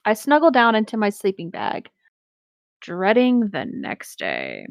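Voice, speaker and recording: A woman's voice: mid-range voice, huge pitch swings, a little slow, gravelly, no room sound, studio quality. A woman narrating a story aloud in a casual, conversational style with some banter. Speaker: co-host reading an online story aloud.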